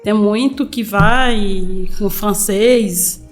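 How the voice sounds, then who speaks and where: A woman's voice: medium pitch, faint music behind. A woman from Congo with a French-influenced accent, a podcast guest chatting and searching for words. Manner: halting, searching for words, laughs often. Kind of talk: casual chat.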